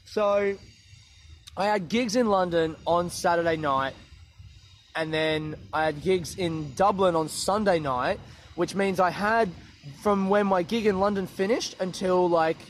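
A man's voice: high-pitched, measured pace, slight background noise. Sweary man rambling outdoors. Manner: sweary, self-mocking, laughs often. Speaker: comedian podcaster. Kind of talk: casual rambling monologue. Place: outdoors, walking through a park.

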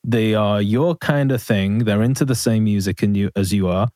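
A man's voice: fairly low pitch, moderate pace, dark tone, no room sound, clean studio sound, faint music behind. An English man with an English accent, a musician telling stories in a casual interview. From England. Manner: chatty storyteller with easy laughs. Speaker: musician guest.

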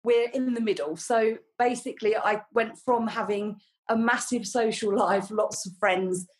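A woman's voice: fairly high pitch, unhurried. A middle-aged woman, a flower farmer, chatting as a podcast guest in a friendly way, with lots of ums and small laughs. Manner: chatty and warm, with frequent ums and light laughs. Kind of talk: casual interview chat.

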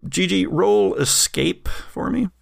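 A man narrating a tabletop game as game master in a casual, improvised, halting way. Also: high-pitched, creaky, no echo, studio quality. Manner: halting, searching for words.

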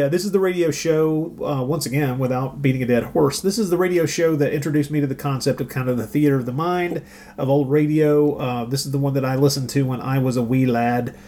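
A man's voice: medium pitch, a little mellow. A middle-aged man co-hosting a casual podcast, talking in a wry, joking way with rambling asides. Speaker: podcast co-host. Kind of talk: casual conversational podcast chat.

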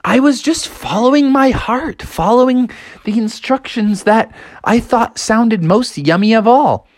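A storyteller voicing Froggy, a naive, eager storybook character who talks in a bouncy, excitable way. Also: unhurried, dry recording. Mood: delighted. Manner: excitable, bouncy, high and squeaky. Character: naive cheerful cartoonish Froggy, eager cook.

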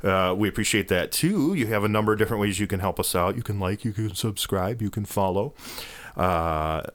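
A man's voice: on the low side, a little fast, dry recording. A man co-hosting a casual podcast, reading out the show's links and plugs with hesitant fillers. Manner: hesitant, filler-heavy delivery. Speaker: podcast co-host.

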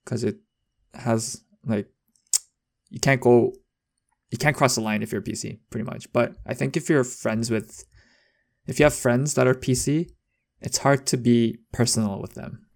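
A man's voice: mid-range voice, steady tone, a little slow, gravelly, pauses often. A young man chatting casually as a podcast co-host, rambling with lots of fillers and restarts. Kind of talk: casual chat.

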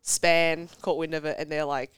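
A young woman with a congested cold, chatting as a podcast guest, self-deprecating and quick to tell stories. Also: sits low, little pitch movement, fairly bright tone, smooth, no echo. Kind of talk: casual chat. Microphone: studio podcast mic.

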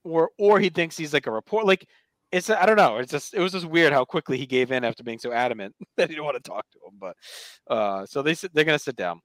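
A man's voice: a little high, animated, fast, fairly bright tone, dry recording. A man hosting a podcast, casually recapping a TV episode and retelling scenes with quoted dialogue. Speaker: podcast host.

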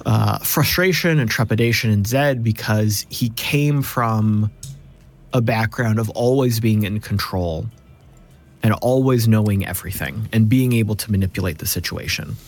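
A man's voice: fairly low pitch, moderate pace. A man in a cast discussion, reflecting and explaining as he builds his points with repeated phrasing. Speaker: cast member of an actual play series.

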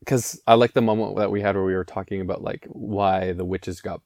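A young man from Canada talks loosely and casually as a podcast guest, filling his sentences with likes and restarts. His voice is very deep. The recording is close and dry. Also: fairly even intonation, brisk, darkish tone, dry recording. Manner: rambling, many fillers. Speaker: podcast guest, life coach. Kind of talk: casual chat.

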